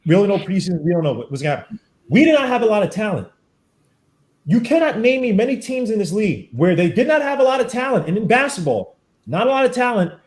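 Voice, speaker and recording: A man hosting a podcast, arguing his point in a fast, emphatic, animated way. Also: high-pitched. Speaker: podcast host. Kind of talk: casual sports debate. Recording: echoey room.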